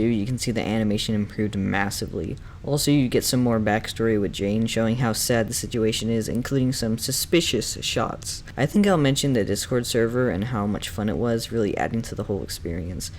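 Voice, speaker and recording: A man's voice: lowish voice, darkish tone. Opinionated male reviewer over background noise. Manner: opinionated, enthusiastic fan talk. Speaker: reviewer and narrator of a video essay. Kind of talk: semi-scripted review commentary. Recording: clearly noisy background.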